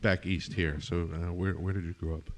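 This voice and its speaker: A middle-aged man hosting a podcast interview, talking casually and relaxed with lots of "uh" fillers. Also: deep voice, moderate pace. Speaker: podcast host.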